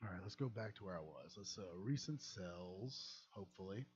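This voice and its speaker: Brief: young male streamer, low voice, loose and self-deprecating, slightly muffled stream audio. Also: lively intonation, normal speed. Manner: rambling, chuckling, self-deprecating. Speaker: game modder and streamer. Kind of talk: casual livestream chat.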